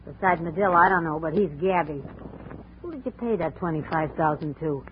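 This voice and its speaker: An actress playing a tough, contemptuous, hard-drinking wife of a boxer in a 1950s radio drama, with slangy talk. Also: female voice, deep voice, brisk, lightly acted. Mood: scornful, suspicious. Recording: muffled noisy old radio recording.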